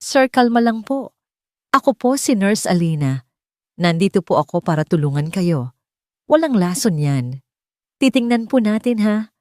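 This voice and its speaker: A woman's voice: mid-range voice, sing-song, normal speed, long pauses, darkish tone, no echo, studio quality, lightly acted. A woman voicing a capable young female nurse who speaks politely but firmly. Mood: soothing. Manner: polite, firm and urgent.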